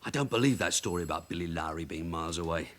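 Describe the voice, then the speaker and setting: An actor playing a shrewd, dogged, middle-aged detective sergeant in a radio crime drama, blunt and wry. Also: male voice, deep voice, quick pace, fairly bright tone. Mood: guarded.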